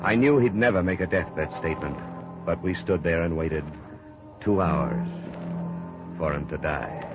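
An actor playing a composed, hard-boiled insurance investigator in a 1950s radio detective drama, speaking in a measured, terse, deadpan way. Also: male voice, low-pitched. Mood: grave narration. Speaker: actor playing the lead and narrator. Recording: muffled old radio broadcast, music behind.

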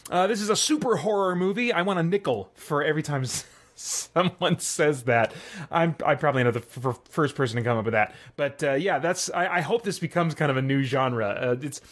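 A man's voice: on the high side, a bit bright. A middle-aged man hosting a podcast, giving a casual review in a conversational way, thinking aloud as he goes. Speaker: podcast host and film reviewer. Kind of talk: casual, semi-improvised movie review.

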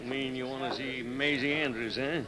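An actor playing a wry, folksy cafe bartender in a 1950s radio western. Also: male voice, medium pitch, steady tone, moderate pace, bright, forceful tone, close to an everyday voice, rough recording. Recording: old radio recording, crowd behind.

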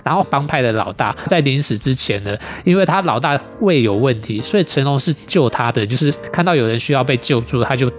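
Fast-talking man chatting about films. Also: mid-range voice, animated, soft background music. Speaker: film discussion show speaker. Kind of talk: animated casual film review chat.

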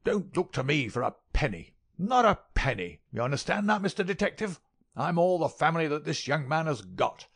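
A man's voice: fairly high pitch, very animated, moderate pace, gravelly, pitched above the performer's own voice, full character voice. A man playing a crackly, querulous old miser lord. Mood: indignant, stingy. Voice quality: sharp crackling voice. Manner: jerky, intense, scolding.

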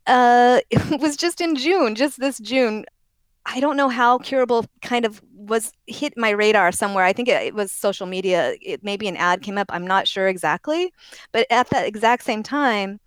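A woman's voice: fairly high pitch, clear, resonant tone, no echo. A woman from Oregon with a very young-sounding voice, talking as an interview guest in a rambling, self-correcting way and laughing often. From Oregon, USA. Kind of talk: casual interview conversation.

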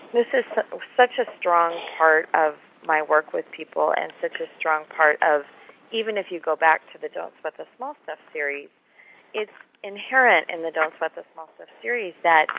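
A woman author, slightly hoarse, talking earnestly and reassuringly about her book in an interview. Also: lowish voice. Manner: earnest, reassuring, repeats words. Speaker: author and guest. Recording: phone line audio.

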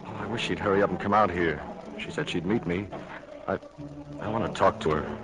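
A man's voice: sits low, brisk, close to an everyday voice, low-quality recording. An actor playing an earnest, lovesick young farm man in a 1940s radio drama. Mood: anxious.

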